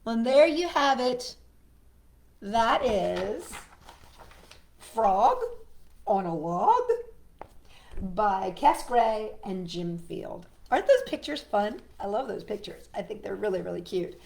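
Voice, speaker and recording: A woman reading to children at storytime, expressive and playful, talking directly to young listeners. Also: mid-range voice, takes it slowly, creaky, pauses often. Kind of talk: read-aloud storytime for children with chatty asides. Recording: echoey room.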